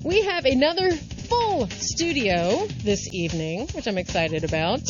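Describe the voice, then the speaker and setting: A woman's voice: on the high side, sing-song, unhurried, over music. A woman hosting a casual radio talk show, teasing and giggling with her guests. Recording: slightly dull radio recording.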